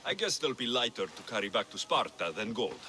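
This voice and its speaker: An actor playing a young, skeptical Greek mercenary hero in a video game, wry and matter-of-fact. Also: male voice, medium pitch, sing-song, a little fast, pushed and bright. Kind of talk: video game dialogue acting.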